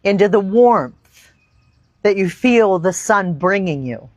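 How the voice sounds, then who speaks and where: A woman leads a yoga meditation at a very measured pace. She phrases each thought deliberately and reverently, leaving long pauses between them. Her mid-pitched voice comes through dry, with little echo. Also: expressive. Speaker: yoga teacher. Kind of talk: guided meditation and yoga instruction. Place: outdoor park.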